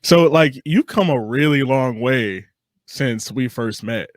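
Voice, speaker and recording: A man's voice: mid-range voice, animated, slow pace, no room sound, clean studio sound. A young adult man hosting a casual interview, affirming and reflective, feeling his way into questions. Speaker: podcast host, interviewer.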